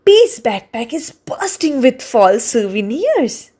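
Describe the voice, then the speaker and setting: Woman reading a kids picture book, fairly high voice, super animated, takes her time. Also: breathy edge. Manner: slow, deliberate storytelling for young children. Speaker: children's book reader. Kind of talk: expressive read-aloud storybook narration.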